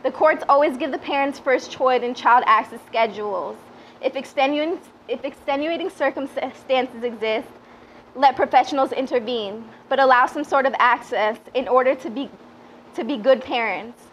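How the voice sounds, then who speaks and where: A woman's voice: high voice, normal speed. A woman in her twenties from the Washington DC area, born in Peru, giving an earnest, slightly hesitant personal speech into a handheld microphone at a small event. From born in Peru, grew up in the Washington DC area. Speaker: public relations director giving a speech. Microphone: handheld microphone, lapel mic also worn. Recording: muffled, noisy live PA recording.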